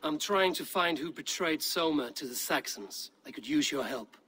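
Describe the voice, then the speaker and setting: Probing Viking warrior, stern, measured, raised pitch, game cutscene, faint background ambience. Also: male voice, expressive, sharp tone, a little hiss or hum. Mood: intent, questioning. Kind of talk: video game cutscene dialogue.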